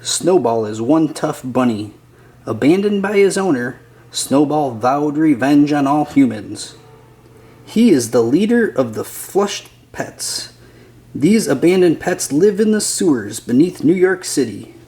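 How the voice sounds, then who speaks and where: A man's voice: fairly high pitch, big pitch swings, measured pace, dark tone. A man reading a children's picture book aloud as a scripted narrator.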